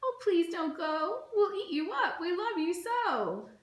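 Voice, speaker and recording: A woman's voice: very high voice, very animated, measured pace, pitched above the performer's own voice, exaggerated voice. A woman voicing clingy wild monsters in a plaintive, begging wail for a storybook. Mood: pleading, tearful. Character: wild monsters pleading tearfully, clingy and menacing. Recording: roomy echo, faint background noise.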